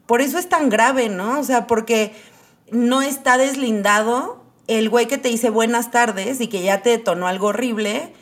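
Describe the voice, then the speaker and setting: Slangy Mexican woman, bit higher voice. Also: normal speed. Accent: Mexican Spanish. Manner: candid, slangy, emphatic. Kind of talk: casual discussion.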